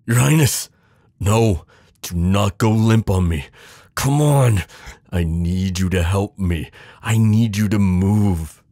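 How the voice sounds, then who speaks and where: A man playing a devoted, protective knight in an intimate fantasy romance audio roleplay, urgent and pleading with hushed reassurance. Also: lowish voice, slow pace, dry recording. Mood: alarmed, fierce. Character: devoted protective male knight, desperate, tender lover.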